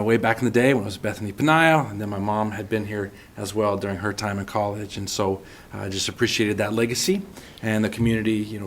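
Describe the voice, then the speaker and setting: A man's voice: on the low side, slight background noise. A man from Oklahoma, a former pastor running for council, talking earnestly and at length. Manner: earnest, flowing, with fillers.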